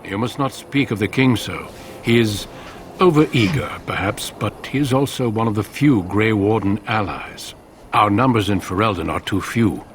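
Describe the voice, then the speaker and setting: An actor playing a grave, dutiful veteran warrior in a fantasy game, speaking formally and with measured solemnity. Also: male voice, lowish voice, slight background noise, natural voice. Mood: solemn, firm. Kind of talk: scripted fantasy game dialogue.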